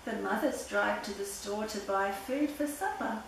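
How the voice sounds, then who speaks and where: An older woman reading a children's picture book aloud in a deliberate, playful storytelling way. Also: mid-range voice. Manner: simple, deliberate reading with playful sound words. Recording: very echoey room, distant mic, background noise.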